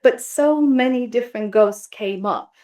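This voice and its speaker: A woman's voice: fairly high pitch, unhurried, a little mellow. A woman whose first language is Bulgarian, talking thoughtfully in a roundtable on translation, with frequent restarts and fillers. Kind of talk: reflective academic conversation. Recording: roomy sound, distant mic.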